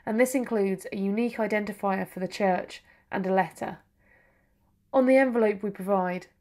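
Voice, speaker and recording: A woman reading a scripted voice-over that gives slow, careful, step-by-step instructions for setting up equipment. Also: medium pitch, a little mellow. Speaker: project presenter.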